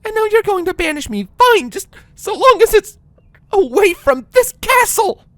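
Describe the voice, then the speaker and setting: A man's voice: extremely high pitch, sing-song, moderate pace, a bit bright, no room sound, voice pushed up, heavily acted. A man voicing a frantic, despairing female unicorn pony villain who rambles in an overwrought way, in a storybook reading. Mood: sobbing, defeated.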